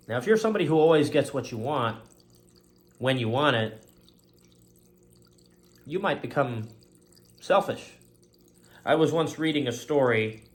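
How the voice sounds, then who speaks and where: Earnest rambling man, casual monologue. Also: mid-range voice. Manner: rambling, earnest, repeats stock phrases. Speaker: host of a faith-themed positive talk show.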